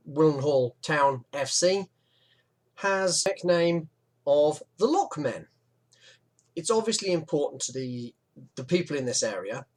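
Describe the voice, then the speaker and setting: Chatty man. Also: fairly high pitch. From West Midlands, England. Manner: chatty, relaxed, with fillers and asides. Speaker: history video narrator. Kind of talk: informal explanatory narration over maps.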